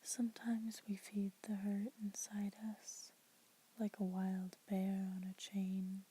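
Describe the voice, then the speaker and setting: A woman's voice: medium pitch, monotone, takes it slowly, slightly rough, rough recording. A young woman whispering throughout, reading poems aloud for an ASMR video. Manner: soft unvoiced whisper throughout; casual, chatty intro with fillers. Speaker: ASMR creator.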